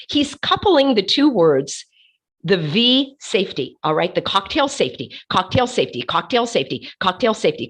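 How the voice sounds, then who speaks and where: Hoarse woman, passionate and emphatic. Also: fairly high pitch, a bit bright. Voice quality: hoarse from laryngitis. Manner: passionate, emphatic, repeats words for effect. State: losing her voice. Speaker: host of an online commentary show. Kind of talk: casual commentary while sharing her screen.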